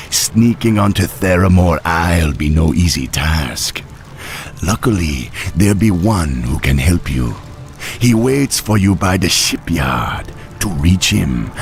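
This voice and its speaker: A deep-voiced troll elder. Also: male voice, heavily acted. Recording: game cutscene with soft score.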